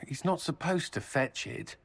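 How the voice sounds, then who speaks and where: An actor playing a gruff but soft-hearted military commander in a video game. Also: male voice, a little high, no room sound, exaggerated voice.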